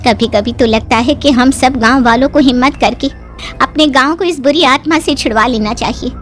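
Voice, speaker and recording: An anxious mother from a village horror cartoon, played by a woman, with a high voice. She frets, pleads and scolds over her teenage son. Soft music plays underneath. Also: normal speed. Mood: worried. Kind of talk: Hindi cartoon voice acting.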